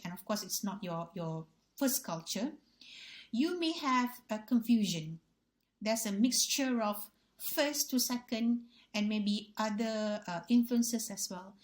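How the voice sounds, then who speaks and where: Woman, Malaysian English accent, native Malay speaker, university lecturer, deliberate pace, repeats key points. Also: medium pitch. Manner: deliberate, repeats key phrases, addresses audience as ladies and gentlemen. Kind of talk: recorded revision lecture.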